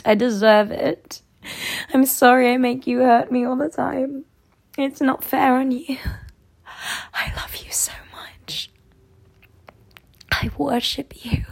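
A woman playing a breathy, pleading young woman. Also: fairly high pitch, darkish tone. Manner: pleading, breathy, often dropping to a whisper. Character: submissive young woman, pleading and eager to please. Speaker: voice actor in an adult audio roleplay. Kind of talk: scripted audio roleplay acting.

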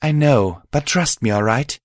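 A caring alicorn mare acted out by a man from a fantasy story, pitched well above his normal speech. The delivery is earnest and pleading, with a close, dry sound. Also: lively intonation, a little slow, no room sound, lightly acted. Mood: reassuring.

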